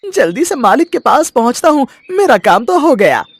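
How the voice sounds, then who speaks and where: A man's voice: extremely high pitch, big pitch swings, moderate pace, slight background noise, full character voice. A man voicing a sorcerer's sneaky, grumbling henchman in a story, scheming as he talks to himself. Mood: sly, excited.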